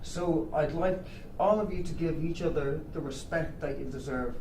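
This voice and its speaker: A man's voice: fairly high pitch, moderate pace, darkish tone, close to an everyday voice. An actor playing an earnest male therapist who leads an anger-management group and talks with many hesitant fillers. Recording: echoey room, distant mic, noisy.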